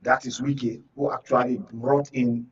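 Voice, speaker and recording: A Nigerian man with a medium-pitched, husky voice analyses politics as a guest. He explains haltingly, restarting as he goes. He sounds a little away from the mic, with a muffled tone. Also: little pitch movement. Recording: muffled, distant microphone.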